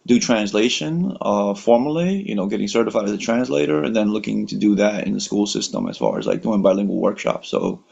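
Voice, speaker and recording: An American-born man chatting casually on a video call, rambling with lots of fillers and relaxed slang. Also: lowish voice, a little fast, creaky, hardly any pauses. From United States. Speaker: workshop participant. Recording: dull lo-fi call audio.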